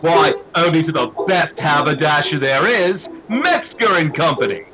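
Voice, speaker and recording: A man playing a jaunty, easily astonished gentleman in vintage radio comedy style, hammy and exclamatory. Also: on the high side, animated, moderate pace, loud music behind the voice, natural voice, low-quality recording. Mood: chummy pitch. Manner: hammy, old-fashioned exclamatory.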